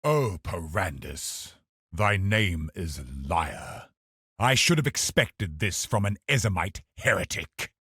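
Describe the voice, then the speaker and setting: An actor playing a scheming, pious villain in a video game, a cold religious officer who speaks in a measured, haughty, husky voice. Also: male voice, low voice, animated, pushed and bright, no room sound, studio quality, exaggerated voice. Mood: scornful. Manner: measured, haughty, calculating, formal. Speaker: game boss character. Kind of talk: dramatic video game voice acting.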